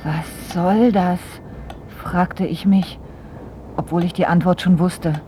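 A woman's voice: fairly low pitch, fairly even intonation, slow pace, warm tone, a little hiss or hum. A woman playing a blunt, exasperated young adult daughter smothered by her father, in radio drama dialogue and narration. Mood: wry, narrating. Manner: curt, blunt. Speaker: actress.

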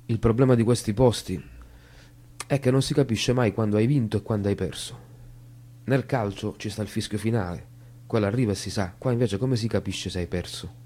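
A man's voice: fairly low pitch, a little fast, mellow, close to an everyday voice. An actor playing a troubled man in a bitter stage drama, delivered as theatrical dialogue and monologue. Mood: bitter, resigned.

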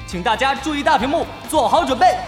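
A man's voice: extremely high pitch, animated, fast. A man acting as a showy esports event host in an audio drama, announcing theatrically to an arena crowd. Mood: showy, rousing. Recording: echoey arena-style reverb, faint music behind.

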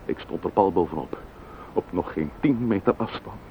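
An actor playing a grieving, indignant widowed neighbour in a radio play, formal and cutting, with a Netherlands Dutch accent. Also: male voice, low-pitched, expressive, normal speed. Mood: bitter, indignant. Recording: dull, noisy old radio play recording.

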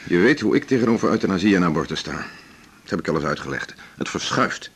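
A wry male doctor, low gravelly voice, lively swooping intonation, self-assured, pontificating, radio drama. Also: fast, a little hiss or hum. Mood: stern, opinionated. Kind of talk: naturalistic Dutch radio drama dialogue.

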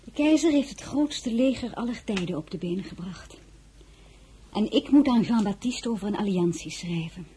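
An actress playing a dignified, quietly defiant French princess and mother in a historical radio drama, measured and often dropping to near whisper. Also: medium pitch, animated, breathy edge. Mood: weary, reflective. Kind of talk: Dutch-language historical radio drama acting.

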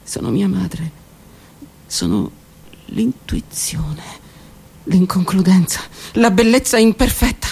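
A woman performing a theatrical one-woman monologue, delivered dramatically, moving between loud outbursts and hushed whispers. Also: medium pitch, very animated, slow pace, gravelly, pauses often, husky. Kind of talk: theatrical monologue broadcast on radio.

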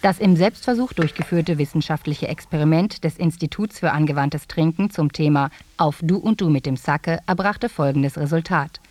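A woman reads scripted radio play narration in a mock-formal, dry way. Her pitch is low for a woman, and the sound is close with no room echo. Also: a little mellow.

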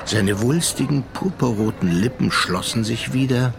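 An actor playing a seafaring narrator in a radio drama, telling the tale in a measured, literary manner. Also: male voice, mid-range voice, vocal fry, natural voice. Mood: measured, recollecting. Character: male seafaring narrator, measured, observant storyteller.

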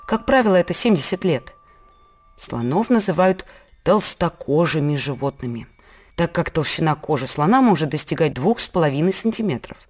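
A woman playing a patient mother, giving measured, scripted educational storytelling to her child. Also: low-pitched, darkish tone.

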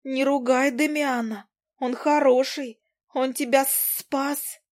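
A tiny, nosy fly girl who chatters in a shrill, squeaky voice. The fly is excitable and gossipy, and her voice sits far above the reader's own low register. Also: takes it slowly. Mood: excited. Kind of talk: audiobook character voice.

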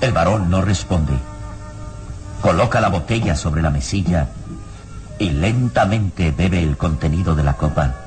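A man's voice: deep voice, measured pace, music in the background. A man narrating a radio drama serial in a dramatic, scripted style.

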